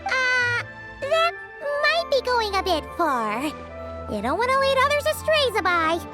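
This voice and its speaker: A woman voicing a tiny, childlike, excitable floating companion in an anime video game, squeaky and cutely expressive. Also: measured pace, heavily acted. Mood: uneasy. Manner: exclamatory, cute, expressive. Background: music.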